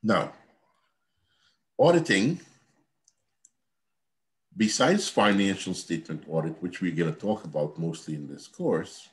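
A man's voice: low voice, animated, a little slow, pauses often. A man, giving an earnest university lecture on a video call from a home office. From Guyana. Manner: earnest, repetitive teacherly explaining. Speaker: accounting professor.

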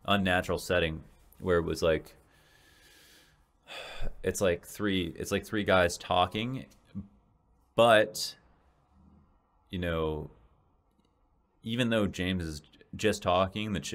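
A young man in his twenties with a deep, slightly raspy voice, hosting a casual solo podcast and rambling with many restarts. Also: slow pace, pauses often, a bit bright. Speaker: podcast host and esports caster. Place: small home room, indoors. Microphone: large studio mic in front of face.

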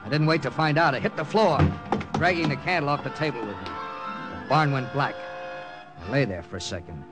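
A man's voice: medium pitch, fairly quick, lightly acted. A man acting a tough, grim American intelligence captain who narrates an old radio drama tersely. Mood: tense narration. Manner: terse, hard-boiled. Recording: old radio recording with music behind.

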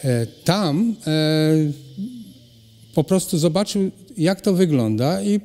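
A middle-aged man, a ceramic artist, answering interview questions in a halting way with many pauses and restarts. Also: a little high, animated, warm tone. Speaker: ceramic artist and cultural animator, guest. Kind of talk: hesitant conversational interview answers. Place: hall at a cultural centre. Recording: long reverb of a hall.

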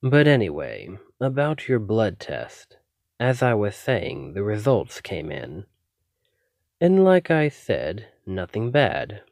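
Male vampire, played by a man, ASMR roleplay: lowish voice, theatrical and polite with obsessive interest, very dry close sound. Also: a little mellow, no echo, exaggerated voice. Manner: polite, coy, measured, theatrical. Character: obsessive, courteous male vampire posing as lab technician. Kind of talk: ASMR roleplay addressed to the listener.

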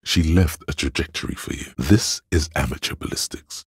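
Extremely deep, husky inner voice of logic. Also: male voice, no room sound, full character voice. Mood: dry, analytical. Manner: measured, deliberate, dry, analytical. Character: cool, sardonic inner voice of logic. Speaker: video game voice actor. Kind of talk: scripted game narration.

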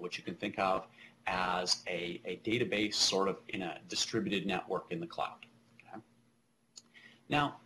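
A middle-aged man with a fairly low voice gives a technical keynote over a webcam stream. He explains step by step in a halting, hesitant way. Background noise sits faintly but noticeably behind him. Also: a bit bright, low-quality recording. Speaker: keynote speaker, technology presenter. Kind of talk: technical presentation over slides.

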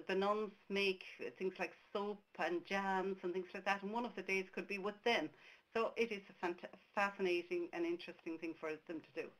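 A woman's voice: medium pitch, rough recording. A woman from Ireland, a native Irish speaker with an Irish accent, giving a conversational webcast presentation over a webcam mic with frequent restarts. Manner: conversational, many restarts and fillers. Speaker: tour operator presenter.

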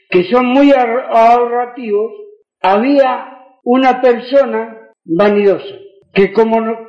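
An older man with a Rioplatense Spanish accent, preaching an emphatic sermon into a handheld mic, firing rhetorical questions and asking the congregation for amens. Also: very high-pitched. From Argentina. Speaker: pastor. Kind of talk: fiery sermon with audience call-and-response. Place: church hall with congregation. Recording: roomy, dull church recording.

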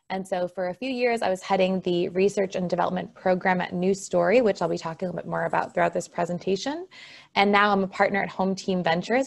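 A woman's voice: fairly low pitch, vocal fry. A woman from Argentina in her thirties giving an earnest, explanatory slide presentation over a video call. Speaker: conference presenter.

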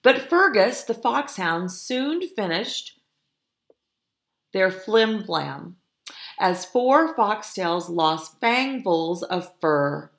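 Slow, expressive woman reading a storybook. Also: mid-range voice, vocal fry. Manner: expressive, explanatory. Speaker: storytime reader, teacher. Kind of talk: reading a picture book aloud and explaining it to children.

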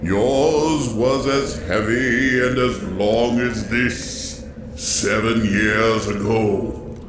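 An actor in an audio drama playing the mournful, menacing ghost of a dead Victorian businessman, solemn and theatrical. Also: fairly low pitch. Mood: grave, sorrowful. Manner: slow, solemn, drawn-out theatrical delivery. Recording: echoing voice over noisy eerie ambience.

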